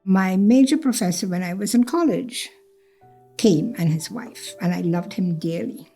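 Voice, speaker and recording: Older Trinidad-born woman, gravelly and warm. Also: mid-range voice, a little slow, faint music behind. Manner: reflective, repeats phrases, acts out quoted speech. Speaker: cancer survivor telling her story. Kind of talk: personal storytelling interview.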